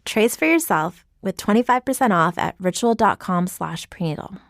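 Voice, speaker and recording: A woman's voice: medium pitch, animated, moderate pace, no room sound, studio quality. A woman founder reading a scripted ad, earnest and persuasive. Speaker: company founder in an ad. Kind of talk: scripted ad read.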